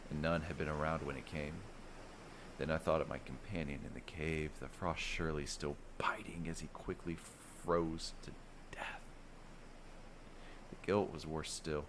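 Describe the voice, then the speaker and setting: A man narrating a first-person fantasy bedtime story in a measured, dramatic storytelling manner. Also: very deep voice, steady tone, a little hiss or hum.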